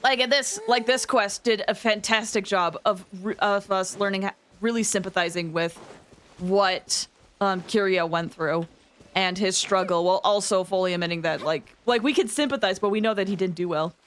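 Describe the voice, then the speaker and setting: A woman hosting a livestream, chatting casually with viewers in an expressive, emphatic way. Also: medium pitch, moderate pace. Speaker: livestream host.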